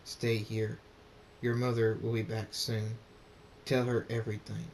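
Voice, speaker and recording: A man's voice: flat delivery, unhurried, a little mellow. A man voicing a stern, vengeful winged warrior pony father in a story, grim and commanding. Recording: noisy with low rumble.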